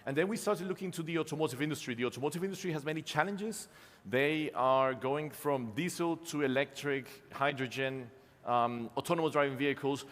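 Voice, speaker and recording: Male presenter, forties, mid-pitched, loose and self-mocking, lots of ums, captured in a reverberant conference hall. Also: a bit bright. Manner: casual, self-deprecating, many ums and restarts. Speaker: conference speaker, company co-founder. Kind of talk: live conference keynote with slides.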